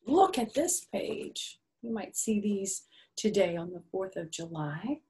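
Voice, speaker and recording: Older woman, very unhurried pace, children's storytime read-aloud, simple phrasing, chatty asides. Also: mid-range voice, very animated, darkish tone, slight background noise. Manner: asks the listeners simple questions and adds asides. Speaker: storyteller reading a picture book to children.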